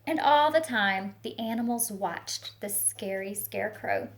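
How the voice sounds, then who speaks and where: A woman reading a picture book aloud to young children, expressive and speaking to them directly with teacher-like questions and asides. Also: medium pitch, measured pace. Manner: expressive storytelling, talks directly to young listeners.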